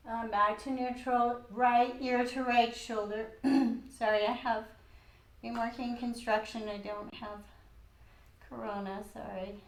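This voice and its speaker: Slow, croaky woman teaching yoga. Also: a little high, roomy sound, a little hiss or hum, low-quality recording. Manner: slow, calm cueing with light laughs. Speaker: yoga instructor. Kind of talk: guiding a gentle yoga class. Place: old studio building.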